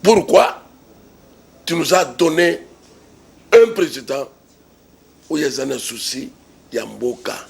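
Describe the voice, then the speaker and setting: A man's voice: on the high side, very animated, unhurried, vocal fry, pauses often. A man with a Congolese accent arguing politics in an interview, emphatic and repetitive, full of rhetorical questions. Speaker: political commentator being interviewed. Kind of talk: impassioned political argument in an interview.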